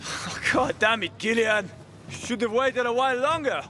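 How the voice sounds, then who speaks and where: An actor playing a brash, excitable young scavenger who curses and shouts in a game cutscene. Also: male voice, very high voice, normal speed. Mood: wry. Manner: loud, cursing, excitable. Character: brash reckless male scavenger, young adult. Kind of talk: video game cutscene voice acting. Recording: game cutscene with loud noise.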